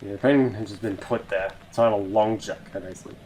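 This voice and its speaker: A man's voice: lowish voice, fairly even intonation, fast talker, dark tone, slight background noise, low-quality recording. A man doing a casual video reaction, reading captions aloud and muttering sweary asides. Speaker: YouTube reaction host.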